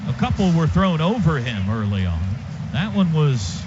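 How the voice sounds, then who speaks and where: A man's voice: a little high, sing-song, moderate pace. An American man calling live football play-by-play on the radio in clipped, punchy phrases. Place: stadium radio booth. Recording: dull, noisy live radio broadcast.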